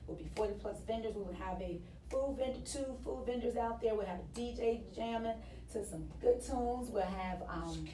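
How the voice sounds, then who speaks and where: Female American interview guest, soft mellow tone, casual promotional talk, distant roomy mic. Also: a little high, normal speed. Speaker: interview guest, business founder.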